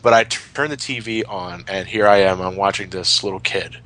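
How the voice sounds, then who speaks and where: A man hosting a casual group chat, reminiscing in a halting way and repeating words. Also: low-pitched, fairly even intonation, fairly bright tone, slight background noise, poor audio. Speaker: host of a fan retrospective commentary.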